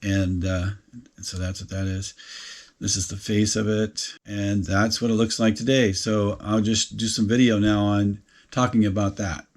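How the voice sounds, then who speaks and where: Male DIY presenter, lowish voice, informal step-by-step narration, matter-of-fact and a little halting. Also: flat delivery. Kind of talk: informal project walkthrough narration.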